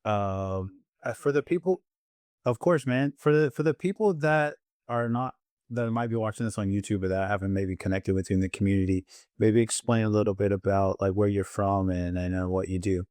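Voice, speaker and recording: A man's voice: fairly low pitch, darkish tone, no echo. Eager male interview host. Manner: eager, quick follow-up questions. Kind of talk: casual interview questions. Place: in Hungary.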